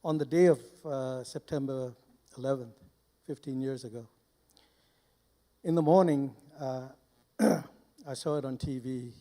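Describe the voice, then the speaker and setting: Older male guest speaker from Burma, soft-edged warm timbre, deliberate and halting, informal testimony. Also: mid-range voice, fairly even intonation. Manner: halting, deliberate, with short broken phrases. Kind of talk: informal personal testimony to a live audience. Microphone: handheld microphone held close.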